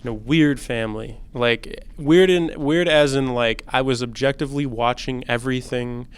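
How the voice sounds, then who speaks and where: A young man in his twenties hosts a laid-back student podcast. His voice is smooth and resonant, pitched right in the middle, and he keeps things easygoing with slang and filler words. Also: moderate pace. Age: 20s. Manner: relaxed, chatty, loose with slang and fillers. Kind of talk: casual conversation.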